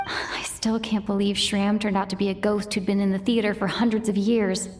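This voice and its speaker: An actress playing a kind, easygoing young female character in a video game, speaking casually and gently. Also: mid-range voice, flat delivery, moderate pace, slight background noise, close to an everyday voice. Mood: weary, wondering.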